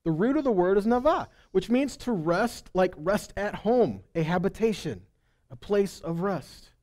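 A man's voice: sits high, lively intonation, measured pace, darkish tone. A middle-aged man teaching a live sermon in a conversational, emphatic way, speaking into a headset mic. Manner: conversational, checking in with listeners. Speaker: preacher and Bible teacher. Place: indoor stage in a meeting room or hall. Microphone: headset microphone.

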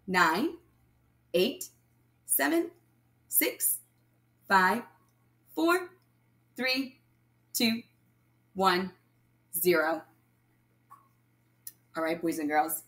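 Female primary teacher, lower-pitched, very unhurried delivery, read-aloud counting lesson for little kids, leaves gaps for answers. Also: fairly bright tone. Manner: instructional, addressing young children, pausing for answers. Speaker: primary school math teacher.

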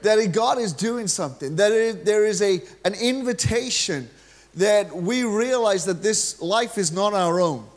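A middle-aged man with a Welsh accent delivers an energetic, humorous sermon, his voice sitting notably high for a man. He speaks into a handheld mic on a large church stage. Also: a little slow. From Wales. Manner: energetic, joking preacher, gestures freely while pacing the stage. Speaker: guest preacher.

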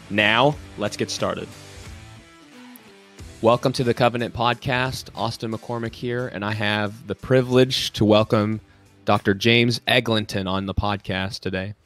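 A man's voice: lowish voice, a bit bright. A man hosting a podcast, welcoming his guest politely and asking questions with some ums and uhs. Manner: polite, a bit halting, with uh and um. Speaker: podcast host. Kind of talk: casual interview hosting.

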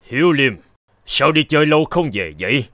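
An actor playing a solemn, commanding elder in an animated fairy tale, speaking in a measured, authoritative way. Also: male voice, on the high side, no echo, clean studio sound. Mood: calm. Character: solemn male envoy and hermit, commanding elder.

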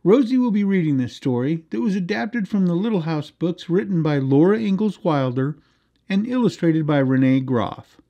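A woman's voice: lowish voice, expressive, moderate pace, mellow, muffled recording. A woman delivering scripted announcements for a children's story channel. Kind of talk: scripted introduction and sign-off.

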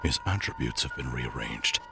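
A man playing a melancholy, lonely exiled skeleton scientist in a comic dub, formal and brooding, with a breathy, near-whispered voice. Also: extremely low pitch, animated, exaggerated voice. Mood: troubled realization. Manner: brooding, formal, slow musing. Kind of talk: dramatic comic-dub monologue. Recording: loud music under the voice. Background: loud music.

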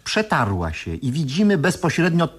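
An actor playing an excitable smuggler from a past era who argues and explains eagerly in a 1970s radio play. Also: male voice, on the high side, moderate pace, slightly rough, full character voice. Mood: philosophizing. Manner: animated, argumentative, explanatory.

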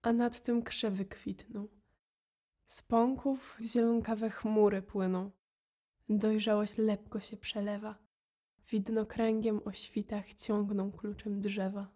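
Soft, mellow woman reciting poetry slowly. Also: medium pitch, flat delivery. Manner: solemn, restrained delivery. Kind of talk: dramatic poetry recitation for audio play.

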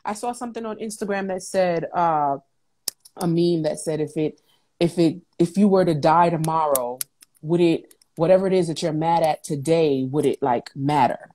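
A woman's voice: sits low, moderate pace. A woman in her thirties speaking emphatically and casually as a motivational interview guest on a video call. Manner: animated, emphatic, conversational. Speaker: guest, talk show host and entrepreneur. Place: indoors at home on a video call. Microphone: wired earbuds on a video call.

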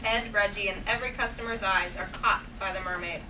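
A woman's voice: mid-range voice, steady tone, brisk. Woman reading stage directions, distant mic. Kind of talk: scripted narration at a table read. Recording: distant camera mic, clearly noisy room.

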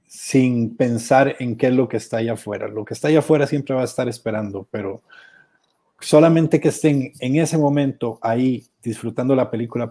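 A man's voice: medium pitch, mellow. A young man, a filmmaker guest, giving short, easygoing answers over earphones on a video call.

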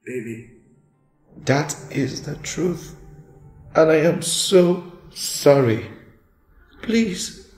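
A man's voice: fairly high pitch, expressive, very slow, pauses often, darkish tone. An actor playing a remorseful man who pleads with his partner for forgiveness. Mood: pleading. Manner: pleading, apologetic. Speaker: actor in a relationship skit. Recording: echoey room with faint music.

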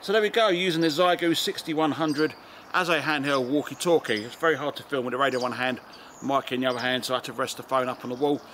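A man's voice: mid-range voice, fairly even intonation, quick pace. A man in his forties with an English accent, presenting casually to camera as an amateur radio vlogger outdoors. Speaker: amateur radio video host. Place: outdoors in a garden and open high spot. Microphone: camera or phone at about arm's length. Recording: outdoor recording with background ambient noise.

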